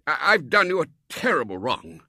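A man voicing a blustering elderly Colonel who gushes loudly and stammers at times in a storybook reading. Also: very animated, moderate pace, husky, dry recording, clean studio sound, heavily acted. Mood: gushing. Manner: booming, gushing, stammering at times. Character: blustering elderly male Colonel, loud and effusive.

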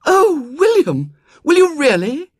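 A man voicing an imperious little girl in a storybook reading, high and commanding. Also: very animated, a little fast, a bit bright, dry recording, studio quality, heavily acted. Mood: delighted.

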